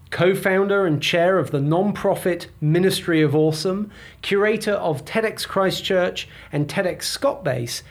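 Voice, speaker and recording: A man reading a scripted introduction in a measured, formal way. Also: on the high side. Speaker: podcast announcer.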